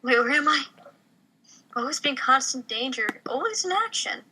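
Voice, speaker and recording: Bright, high-voiced woman at table read. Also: animated, normal speed, a little hiss or hum, low-quality recording. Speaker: cast member in a table read. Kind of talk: amateur comedy script reading.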